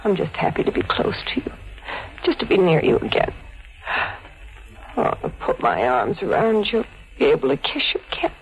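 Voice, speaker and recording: Seductive female stage star, brazen, theatrical, very lively pitch, vintage 1940s radio drama. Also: moderate pace, long pauses, highs cut off. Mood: pleading, seductive. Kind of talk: 1940s radio thriller acting. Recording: old radio drama recording.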